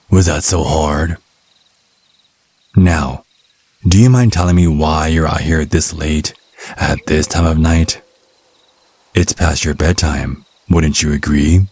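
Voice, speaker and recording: Casting: male actor for a smug, mocking vampire hunter in ASMR audio drama, bottomless low register, unhurried delivery. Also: a little mellow, full character voice. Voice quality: husky, very deep. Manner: sardonic, mocking, slow deliberate phrasing. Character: cocky, taunting male vampire hunter, youthful but over a century old. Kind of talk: ASMR roleplay monologue.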